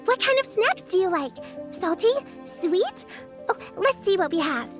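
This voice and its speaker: Squeaky, bouncy little fairy girl for a game, very high and lively, faint music under it. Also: unhurried, full character voice. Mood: cheery. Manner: bouncy, squeaky, talks about herself in third person. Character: tiny floating fairy girl companion, chirpy and excitable.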